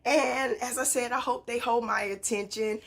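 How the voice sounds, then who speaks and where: A woman's voice: on the high side, steady tone, moderate pace, a bit bright. A woman talking casually to her viewers as a book vlogger, telling stories in a conversational way and tossing out rhetorical questions. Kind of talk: casual, chatty book haul presentation.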